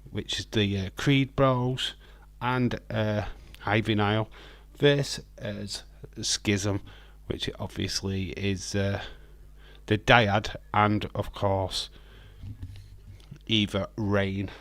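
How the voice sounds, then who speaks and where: Slightly croaky man chatting casually on stream. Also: fairly low pitch, fairly even intonation, extremely slow, a bit bright, no echo. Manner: rambling, friendly, with frequent hesitant fillers. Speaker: live stream host. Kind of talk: casual live watch-along chat, reading viewer comments.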